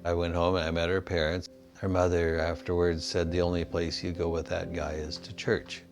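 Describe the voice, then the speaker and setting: A man's voice: low voice, flat delivery, moderate pace. A man in his sixties telling his story as a missionary in a documentary interview. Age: 60s. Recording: music behind the voice.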